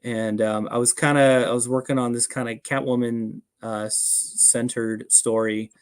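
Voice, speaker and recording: Male podcast guest, mid-pitched, informal and self-effacing, appreciative in casual interview conversation. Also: fairly even intonation, normal speed, clear, resonant tone. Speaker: podcast guest, fan audio drama producer.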